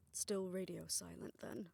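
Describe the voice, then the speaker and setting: A woman playing a weary, affectionate older woman in an intimate romantic audio roleplay, speaking softly and reflectively in a measured way. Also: fairly low pitch, gravelly, husky, dry recording, exaggerated voice.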